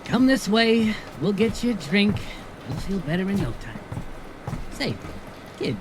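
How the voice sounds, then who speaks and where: An actor playing a wry, slangy goblin bartender in a noir audio drama, familiar and streetwise in manner. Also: male voice, high-pitched, sing-song, unhurried, background noise. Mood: scolding, then friendly. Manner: slangy, familiar, calling people kid. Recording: music playing behind the voice. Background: music.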